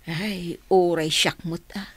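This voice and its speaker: A woman's voice: lowish voice, steady tone, slow pace, breathy edge, dry recording. An actress playing a resigned, affectionate older woman in a radio drama, with a sighing, plaintive manner.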